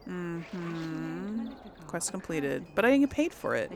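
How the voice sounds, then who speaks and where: Casual, creaky-voiced woman gamer. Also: fairly low pitch, sing-song, takes it slowly, a crowd in the background. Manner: informal, thinking aloud. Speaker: game streamer. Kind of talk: casual let's play commentary. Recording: faint game sounds behind voice. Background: video game ambience.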